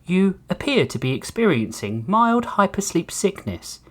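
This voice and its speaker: Man voicing a calm, posh British robot caretaker, lively intonation. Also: high-pitched, normal speed, voice pushed up, heavily acted. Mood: calm reproach. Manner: upper-crust, courteous, deadpan reassurance. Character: calm, polite, faintly sardonic male robot caretaker.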